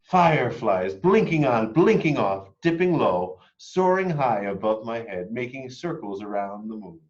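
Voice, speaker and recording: A man reading a children's picture book aloud expressively, moving between hushed and emphatic delivery. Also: mid-range voice, expressive, unhurried, highs cut off. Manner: dramatic, hushed and emphatic by turns. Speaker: read-aloud storyteller.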